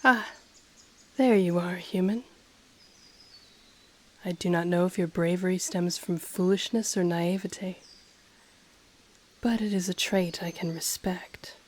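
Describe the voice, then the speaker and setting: A woman voicing an ancient, powerful dragon in a fantasy audio roleplay, haughty and intrigued, speaking formally in a breathy half-whisper. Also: fairly low pitch, darkish tone. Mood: regal, intrigued. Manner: slow, formal, deliberate, haughty. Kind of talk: scripted audio roleplay, intimate monologue to listener.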